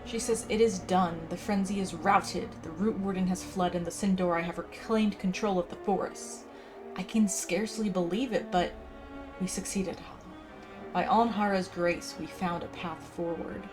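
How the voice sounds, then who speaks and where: A woman's voice: lowish voice, fairly even intonation, moderate pace, low-quality recording. A woman hosting a casual game playthrough, chatting and reading quest text aloud. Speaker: gaming video host. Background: game music.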